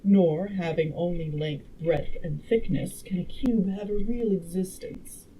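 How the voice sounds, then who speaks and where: A woman narrator puts on a learned, assured female time traveler from a storybook. She explains things patiently and precisely, with firm emphasis. The tone stays soft-edged and warm, over faint background noise. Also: lowish voice, a little slow, lightly acted, poor audio. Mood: earnest lecturing. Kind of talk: acted reading of a classic novel.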